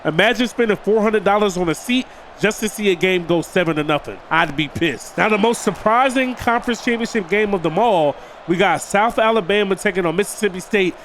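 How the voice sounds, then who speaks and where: A man's voice: high voice, brisk, hardly any pauses, fairly bright tone, slight background noise. A man with a cold, sounding nasal and stuffed up, doing casual play-by-play commentary over a football video game and talking to his viewers as "boys.". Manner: addresses viewers as "boys", hypes big plays. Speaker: gaming video creator.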